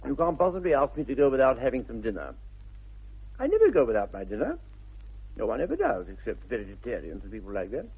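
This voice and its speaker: An actor playing a flippant, idle young English gentleman with an upper-class accent and airy, mock-earnest wit, in 1960s radio comedy style. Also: mid-range voice, huge pitch swings, quick pace, slightly rough, exaggerated voice. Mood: blithely self-satisfied. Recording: muffled narrow-band vintage radio recording.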